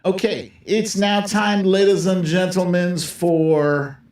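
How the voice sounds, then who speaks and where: A man's voice: high voice, steady tone, takes it slowly, a little mellow, faint music behind. A man hosting a live political stream in a casual, slangy, mocking and profane way. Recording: roomy echo, distant from the mic.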